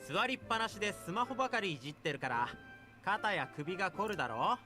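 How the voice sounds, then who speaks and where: A man's voice: high-pitched, animated, rapid-fire. A man acting an upbeat, motivating fitness coach with a barbed tongue in a game. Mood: upbeat, instructing. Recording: music behind the voice.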